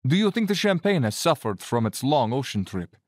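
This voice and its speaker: An actor in an audio drama playing an urbane Cossack general and hunter, courtly and self-satisfied, with quiet menace. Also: male voice, mid-range voice, big pitch swings, a little fast, dry recording, clean studio sound. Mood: courteous, hospitable.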